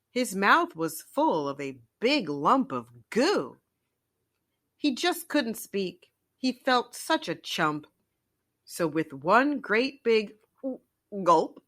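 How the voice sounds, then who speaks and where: A woman storyteller reading a children's picture book aloud in an expressive narrating style. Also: mid-range voice.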